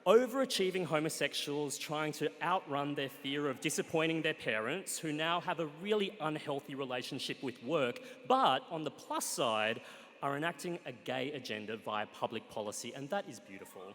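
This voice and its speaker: A man with an Australian accent telling a comic personal story on stage, wry and playful, with deadpan punchlines. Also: on the high side, moderate pace. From Australia, Sunshine Coast, Queensland. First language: Cantonese. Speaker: guest storyteller. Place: stage in a live event hall with an audience. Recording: reverberant live hall.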